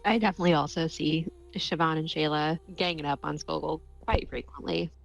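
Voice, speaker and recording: A woman's voice: deep voice, fairly even intonation, fairly quick, creaky. A woman playing a tabletop role-playing game, casually describing her character and checking ideas with the others. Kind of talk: casual improvised character discussion. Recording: slightly dull, limited-band sound. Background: faint music.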